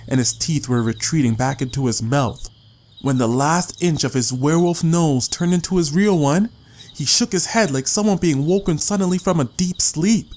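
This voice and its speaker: A man's voice: a little high, darkish tone. A man reading a story as an audiobook narrator, in a dramatic scripted style.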